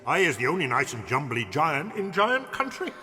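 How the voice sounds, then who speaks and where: Kindly, rambling giant for children's audio drama, emphatic and muddled, heard in long cave-like reverb. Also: male voice, fairly high pitch, sing-song, moderate pace, pushed and bright. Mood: proud, friendly. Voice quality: wordy, garbled grammar with mangled made-up words.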